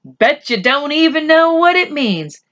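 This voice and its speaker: A woman's voice: sits high, very animated, a little slow, a bit bright, lo-fi sound, voice pushed up, heavily acted. Woman voicing a sneering, bossy schoolboy brother. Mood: sarcastic. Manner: scornful, sarcastic, demanding.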